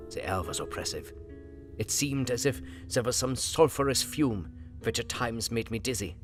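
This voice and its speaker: An actor playing an earnest, God-fearing aging vampire hunter, narrating his diary solemnly in halting old-fashioned English. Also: mid-range voice, close to an everyday voice. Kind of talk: dramatic diary narration in an audio drama. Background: guitar music.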